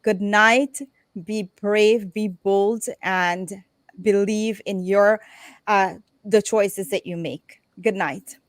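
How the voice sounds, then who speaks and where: A woman's voice: medium pitch, steady tone, slow, dry recording. Effusive middle-aged woman hosting a talk show.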